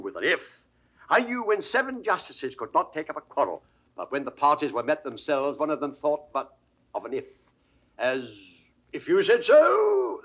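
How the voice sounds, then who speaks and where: A British actor playing a witty, mock-learned court jester in a Shakespeare audio drama, with mock-formal, theatrical delivery. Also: male voice, fairly high pitch, a bit bright, full character voice.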